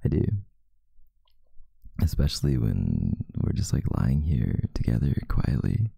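Very deep, gravelly, drowsy young man murmuring. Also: sing-song, measured pace, dry recording, studio quality. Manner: soft, drowsy murmuring, partly breathy and whispered. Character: sleepy, affectionate, teasing boyfriend in bed. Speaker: ASMR roleplay performer. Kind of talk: intimate ASMR boyfriend roleplay, pillow talk. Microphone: close microphone, dry sound.

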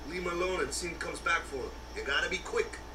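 A man acts out an easygoing young fantasy game warrior, pitched high and speaking in loose, slangy phrases. The game audio sounds roomy and distant, with clear noise over it. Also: expressive, fast, lightly acted. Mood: casual, friendly. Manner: informal, clipped slangy speech. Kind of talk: video game voice acting.

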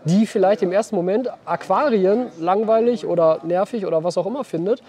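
A man in his thirties speaks quickly in a high, mellow voice. He chats casually as a guest being interviewed. The recording is made outdoors. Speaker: interview guest, aquascaping creator. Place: outdoors beside an expo building.

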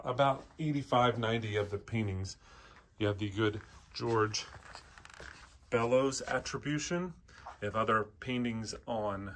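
A man with an average-pitched voice presents an informal walkthrough. He speaks off the cuff in short, halting phrases, pointing things out as he goes. It is recorded indoors on a handheld device. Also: slight background noise. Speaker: auction house presenter. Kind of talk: unscripted walkthrough narration, pointing out items. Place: indoor antiques showroom, walking around.

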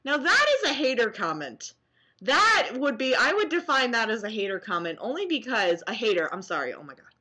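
A young American woman reacting casually to comments she reads aloud, sarcastic and animated, at home on a webcam mic. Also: fairly high pitch, a little fast, husky. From New Jersey, US. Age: young adult. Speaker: vlogger. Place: small room at home, indoors.

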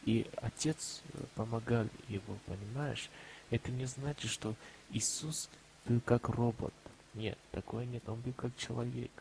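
A man from Armenia with an Armenian accent, speaking Russian as a second language in a halting voice chat about faith, full of pauses and restarts. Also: medium pitch, fairly even intonation, gravelly, a little mellow, slight background noise, rough recording. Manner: halting, many fillers and restarts. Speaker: chat participant. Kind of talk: casual religious discussion. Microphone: walkie-talkie voice chat app.

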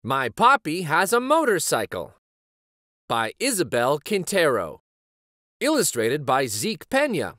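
Animated man reading a picture book. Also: a little high, no echo, clean studio sound. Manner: animated, deliberate storytelling delivery. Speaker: children's read-aloud host and storyteller. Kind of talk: expressive picture book narration.

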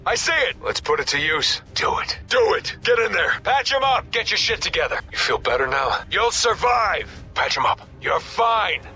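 An actor playing a battle-hardened, foul-mouthed special forces squad leader in terse, commanding combat lines for a video game. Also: male voice, high-pitched, sing-song, normal speed, hardly any pauses, sharp tone, heavily acted. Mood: brisk, businesslike.

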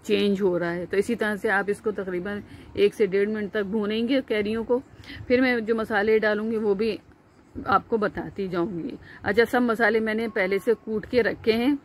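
A woman with a Pakistani Urdu accent talks through a recipe as she cooks, in a calm, instructive way. Her voice sits at a medium pitch, with frequent "uh" fillers and restarts. She is recorded close on a phone in a home kitchen, with faint background noise. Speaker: cooking channel host. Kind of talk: step-by-step cooking narration.

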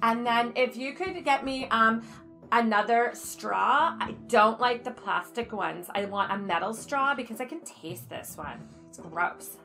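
A woman's voice: on the high side, unhurried, creaky, sharp tone, soft background music, full character voice. A middle-aged woman playing a fussy, entitled, oblivious freeloader in an improvised soap comedy, demanding, dismissive and whiny.

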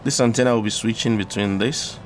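A man presenting an unscripted electronics tutorial, explaining step by step in a halting way. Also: fairly low pitch. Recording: clearly audible background noise.

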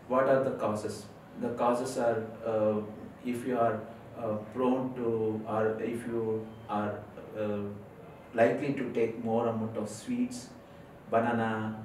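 A man's voice: lowish voice, darkish tone. A middle-aged man from India, an Ayurveda physician, explaining sinus care to camera with many hesitant fillers. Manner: earnest explaining with frequent uh fillers, gesturing with hands. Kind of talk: health talk to camera. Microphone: lapel mic. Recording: very echoey room, distant mic, faint noise.

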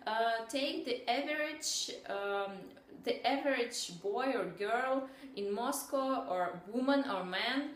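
A woman's voice: on the high side, takes it slowly. A young woman with a Russian accent, a native Russian speaker, talking casually to camera with many fillers and restarts. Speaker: vlogger. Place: small indoor room in front of a bamboo-print wall. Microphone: camera at a short distance. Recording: echoey room.

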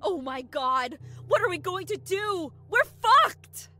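Pleading, fretful young woman thief, high-pitched, unhurried, expressive, radio-play style. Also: full character voice. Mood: panicked. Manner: worried, pleading. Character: anxious, pleading young female reluctant thief. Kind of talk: audio drama acting. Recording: music bed behind dialogue.

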